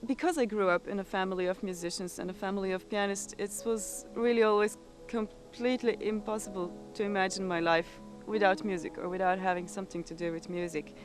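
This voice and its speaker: A young woman with a Croatian accent speaks reflectively in an interview, often pausing and restarting her phrases. Her pitch sits in an ordinary middle range. Music plays clearly beneath her voice. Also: steady tone. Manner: thoughtful, with frequent pauses and repeated words. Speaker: competition-winning pianist. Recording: music playing underneath.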